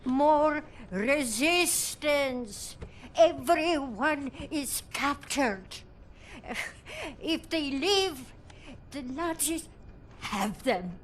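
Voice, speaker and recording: A weary, protective Polish woman in a game drama. Her voice is high and her accented English comes slowly and haltingly. Faint noise sits behind her. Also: animated, exaggerated voice. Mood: anxious. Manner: halting, simple broken English.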